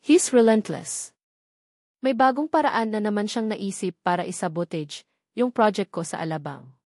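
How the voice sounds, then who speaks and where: A woman's voice: medium pitch, normal speed, darkish tone, dry recording, clean studio sound, natural voice. A woman voicing a weary, troubled young husband in a romance audio drama.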